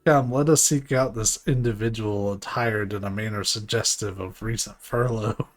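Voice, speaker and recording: A man's voice: on the low side, steady tone, faint music behind, close to an everyday voice. A man voicing a courteous scholar from a fantasy game, with formal, flowery, old-fashioned phrasing. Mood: courteous, solemn. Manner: formal, ornate, measured. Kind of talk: game dialogue read aloud in character.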